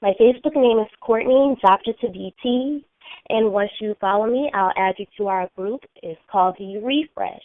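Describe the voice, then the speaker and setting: A woman's voice: medium pitch, normal speed, no echo. A woman hosting a motivational call, talking earnestly in a slightly scratchy voice from a sinus infection. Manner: earnest, coaching, repeats key phrases. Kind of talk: motivational talk on a phone call. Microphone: phone conference line. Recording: dry tinny phone line.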